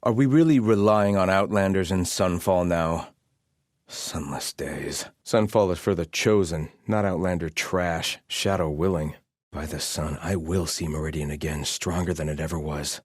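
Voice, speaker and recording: An actor playing a haughty, zealous soldier of a desert kingdom in a video game, clipped and contemptuous toward outsiders. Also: male voice, sits low, moderate pace, no room sound, clean studio sound. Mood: scornful, haughty. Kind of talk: game combat barks and ambient character lines.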